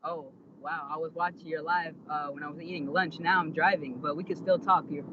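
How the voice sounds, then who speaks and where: High-voiced man on a muffled car call. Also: steady tone, a little fast, slight background noise. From Iraq. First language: Arabic. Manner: emphatic, repeats himself, slangy. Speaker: caller to a live stream. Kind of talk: casual, unscripted debate. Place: inside a car while driving. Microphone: phone or internet call.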